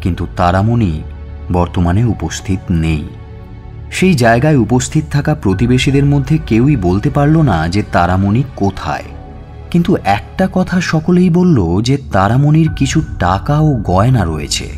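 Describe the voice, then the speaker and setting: A man playing a police detective who narrates his investigation in measured, suspenseful audio-story style. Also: mid-range voice, sing-song, a little mellow, lightly acted. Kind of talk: dramatized scripted first-person narration. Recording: music bed under the voice. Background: background music.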